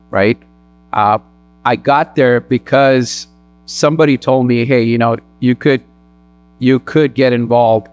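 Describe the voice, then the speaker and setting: A man from Sri Lanka speaking as a guest at a mosque, earnest and in quick bursts. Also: mid-range voice. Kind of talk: personal talk at a community event.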